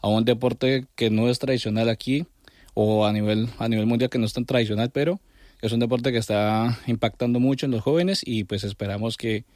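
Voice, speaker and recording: A man's voice: medium pitch, fairly even intonation, brisk, a little mellow, dry recording, clean studio sound. A middle-aged man with a Colombian accent, giving conversational answers as a club director in an interview. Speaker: sports club director and coach, interview guest.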